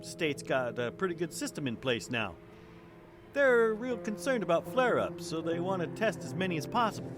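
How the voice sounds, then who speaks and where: Man playing a folksy, high-voiced police detective. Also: very animated, normal speed, over music. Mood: calmly insistent. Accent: American. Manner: folksy, polite, hesitant lead-ins. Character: mild-mannered, shrewd male police detective, quietly probing. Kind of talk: audio drama acting.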